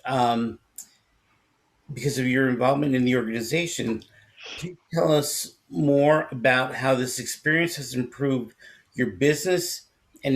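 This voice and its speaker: A man moderating a panel over a video call, asking questions slowly and deliberately. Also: mid-range voice. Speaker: interviewer.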